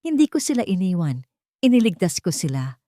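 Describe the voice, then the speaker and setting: A woman's voice: lowish voice, big pitch swings, fairly quick, mellow, dry recording, studio quality. A woman voicing a desperate young nanny in an audio drama, pleading in a broken voice.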